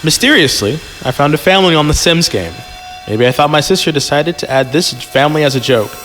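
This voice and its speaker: A man's voice: fairly high pitch, animated, brisk, fairly bright tone, long reverb tail, soft background music. A man chatting and reading a story aloud casually as a podcast guest, joking and digressing into asides. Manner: joking, digressive, repeats himself.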